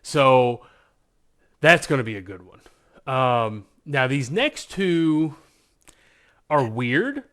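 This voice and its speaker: A man's voice: mid-range voice, sing-song, takes it slowly, pauses often, fairly bright tone. An American man co-hosting a podcast in casual, enthusiastic pop-culture chat, speaking emphatically. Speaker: podcast co-host.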